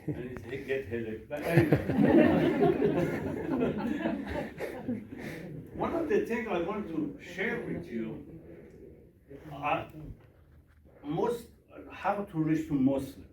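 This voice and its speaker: Persian-accented man, a minister giving a slow workshop talk in broken English, recorded from afar in an echoey room. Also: on the high side, very animated, over a noisy crowd. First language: Farsi. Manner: broken English, repeats questions to the audience. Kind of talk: workshop talk with audience questions. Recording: echoey room from a distance, faint noise.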